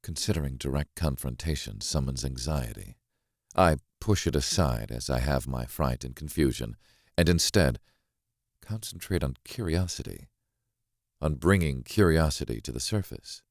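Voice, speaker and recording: A man narrating a thriller audiobook in the first person, in a measured, brooding and dramatic way. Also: rumbling low, animated, no echo, studio quality.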